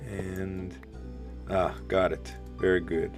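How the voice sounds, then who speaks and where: A man with a deep voice, a bit rough from the morning, casually thinking aloud while building in a game he hosts. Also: little pitch movement, slow pace, soft background music. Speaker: let's play host. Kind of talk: casual gameplay commentary.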